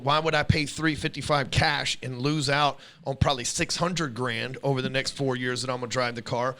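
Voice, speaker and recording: A man's voice: medium pitch, bright, forceful tone. A forty-something man hosting a casual podcast chat, blunt and heavy on profanity. He lectures in a mid-range voice, hammering his points home. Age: 40s. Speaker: podcast host.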